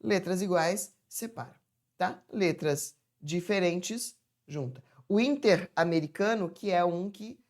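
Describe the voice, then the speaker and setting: Low-voiced woman teaching grammar. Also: darkish tone. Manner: stretches words out slowly to demonstrate sounds; chatty, addresses viewers as "gente". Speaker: Portuguese teacher. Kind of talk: informal online grammar lesson.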